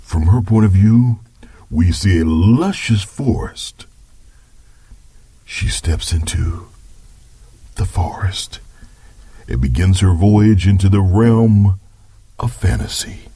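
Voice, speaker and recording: A male narrator reads a dramatic scripted story in a low, very husky voice. He takes his time and varies his pitch widely from phrase to phrase. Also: lively intonation, slow pace, pauses often, dark tone, no room sound.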